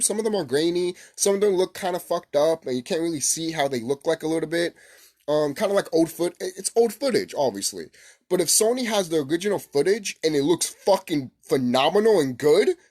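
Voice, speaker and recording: A man hosting a casual, unscripted gaming vlog, rambling excitably, repeating himself and swearing casually. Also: fairly high pitch. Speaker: gaming video host.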